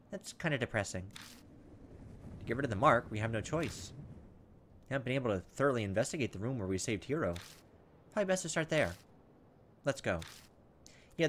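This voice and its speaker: A man playing through a game as host, reading its text aloud and dropping in informal, muttered asides. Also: medium pitch. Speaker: let's play host. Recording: steady background noise over the voice.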